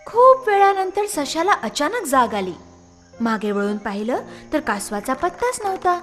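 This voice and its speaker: Woman, children's story narrator, high voice, expressive intonation, soft music underneath. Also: normal speed.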